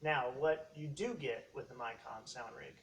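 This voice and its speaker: Guy doing a casual gadget demo, kinda rambly and halting, bit far from the mic, faint noise. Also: medium pitch. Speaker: hobbyist video host demonstrating costume gear. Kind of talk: casual product demonstration.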